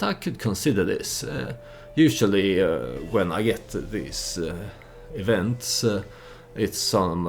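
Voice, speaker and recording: A man with a very low voice hosts a let's play in a casual storytelling style. He speaks hesitantly, thinking his choices through aloud. Faint noise sits behind his voice. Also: creaky. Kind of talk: casual game commentary with storytelling.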